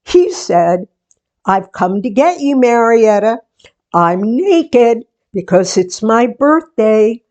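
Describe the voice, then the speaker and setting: A woman playing a sentimental, playful daughter and hostess in a 1940s-style radio theatre monologue, with deliberate, theatrical delivery. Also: a little high, big pitch swings, a little mellow, highs cut off. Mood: wistful, tender. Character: sentimental daughter, book-show hostess, playfully resisting marriage.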